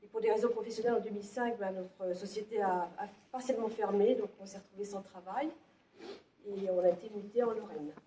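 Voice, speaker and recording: Brief: woman, mellow tone, speaking plainly from across a reverberant room. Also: mid-range voice, fairly even intonation, brisk, slight background noise. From Picardy, France. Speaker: neighbourhood volunteer. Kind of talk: recounting her background. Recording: echoey room, distant from mic.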